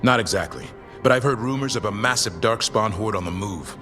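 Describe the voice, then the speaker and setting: An actor playing a wary, dutiful warrior scout in a fantasy game, with clipped, plain-spoken delivery. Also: male voice, fairly low pitch, lively intonation, fairly quick, sharp tone, faint music behind. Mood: wary, concerned.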